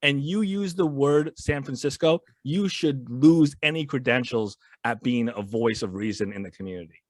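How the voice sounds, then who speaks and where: A man's voice: mid-range voice, moderate pace, dry recording. A man talking as a guest on a podcast, in casual and emphatic chat that often repeats words as he starts.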